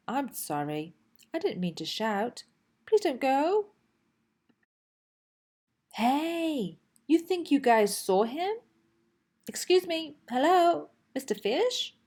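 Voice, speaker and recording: A woman voicing an excitable, anxious and boastful toy dinosaur in a children's storybook, with a childlike, dramatic delivery. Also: on the high side, full character voice. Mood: pleading. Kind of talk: children's storybook read-aloud.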